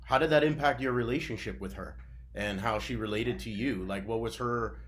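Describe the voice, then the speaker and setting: A man in a reflective conversation, asking gentle, probing follow-up questions. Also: mid-range voice, fairly quick. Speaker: conversation partner.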